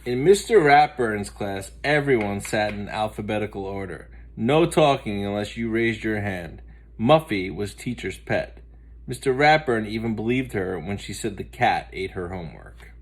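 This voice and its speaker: Man reading a storybook with lively pitch. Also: moderate pace, a little hiss or hum. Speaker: teacher reading aloud. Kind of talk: expressive picture-book read-aloud.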